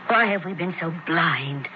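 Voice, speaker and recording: Radio drama: searching, troubled young woman of antiquity, lowish register, earnest formal delivery, muffled vintage broadcast. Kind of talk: old-time radio drama acting. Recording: dull old radio broadcast, faint noise.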